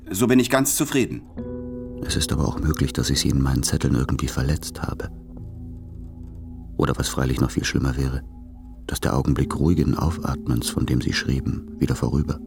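A man's voice: very deep voice, creaky, heavily acted. A man playing a courteous, self-doubting, tender writer, reading his letters in a formal, hesitant, introspective way for a radio play. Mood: brooding.

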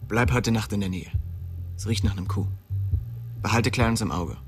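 An actor playing a stern, embittered young gang boss, curt and commanding, in a crime radio drama. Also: male voice, fairly low pitch, normal speed, music in the background, natural voice. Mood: guarded, stern.

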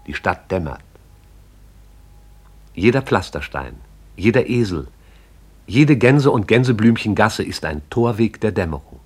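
Man narrating a radio play, lowish voice, measured and poetic. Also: animated, a little mellow, slightly rough.

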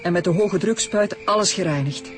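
A man's voice: high-pitched, normal speed, lightly acted. An actor playing an unruffled, matter-of-fact male accomplice to a killing in a radio drama. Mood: calm, matter-of-fact. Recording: music behind.

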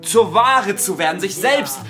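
A woman's voice: medium pitch, animated, measured pace, over music, lightly acted. A woman playing a provocative young activist in a radio play, speaking in an emphatic, declamatory way. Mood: provocative, fervent. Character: provocative, fervent young underground activist preaching reinvention and masks.

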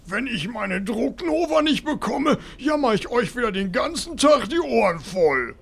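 A man playing a whiny, petulant, trigger-happy game hero with a raspy voice in a parody audio drama. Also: very high voice, very animated, measured pace, heavily acted.

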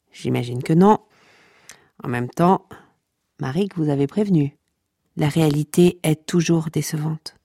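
An actress playing a sardonic, teasing storyteller who narrates comic podcast fiction and scolds listeners with deadpan wit. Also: female voice, low voice, gravelly, no echo, studio quality, exaggerated voice.

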